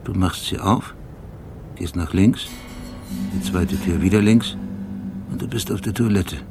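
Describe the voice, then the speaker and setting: Gravelly, low, brooding detective. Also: male voice, unhurried. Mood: explaining, obsessive. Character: obsessive, brooding male police detective with dark memories. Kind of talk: radio play acting, intimate monologue.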